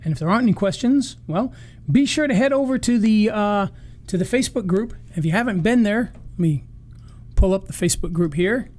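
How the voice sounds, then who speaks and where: A man's voice: sits high, lively intonation, fairly quick, a little mellow. A man hosting a live marketing session, talking loosely and rambling with restarts, starting to lose his voice. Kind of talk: casual live-stream wrap-up.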